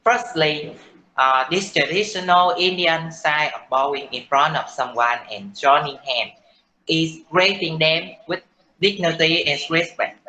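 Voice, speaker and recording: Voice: mid-range voice, a little slow, bright, forceful tone, a little hiss or hum, low-quality recording. A teenager with a Vietnamese accent, playing a talk-show guest in a class role-play. They recite a prepared explanation with many "uh" and "um" fillers. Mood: explaining. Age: late teens. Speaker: student playing a guest from India.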